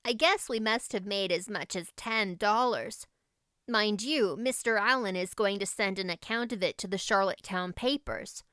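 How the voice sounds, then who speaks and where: A woman's voice: medium pitch, fairly bright tone, no room sound, clean studio sound, natural voice. A woman voicing a practical, chatty young girl, a loyal friend, in an audiobook. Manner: matter-of-fact, brisk, emphatic. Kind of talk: audiobook character voice.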